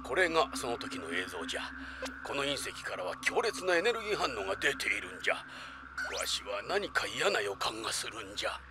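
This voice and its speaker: A man's voice: mid-range voice, big pitch swings, a little fast, noisy background, close to an everyday voice. A man voicing an elderly cartoon scientist, kindly but worried, explaining things in old-fashioned old-man speech. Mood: grave. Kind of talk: anime voice acting.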